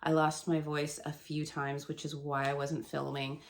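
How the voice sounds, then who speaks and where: Book vlogger, woman, 30s, low voice, gravelly and nasal with a cold, chatty. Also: level tone, moderate pace. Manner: chatty, rambling, laughs often. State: hoarse and stuffy from a cold. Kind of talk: casual chat to camera.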